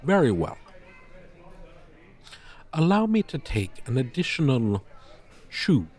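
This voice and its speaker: A man's voice: medium pitch, big pitch swings, slow pace, a little mellow. A man voicing a proud, scornful dragon teacher in a roleplay, speaking in pompous, stilted, formal phrases. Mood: dismissive, haughty. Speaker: roleplay voice performer. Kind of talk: ASMR roleplay monologue, theatrical.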